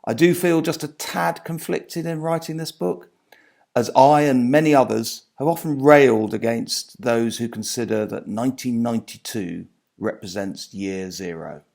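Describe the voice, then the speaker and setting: A man with a heavily creaky, gravelly voice reads a scripted book passage aloud. His delivery is measured and articulate, broken into careful phrases that drop off at the ends. He sounds like an author presenting his own writing in a literary way. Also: mid-range voice, a little mellow.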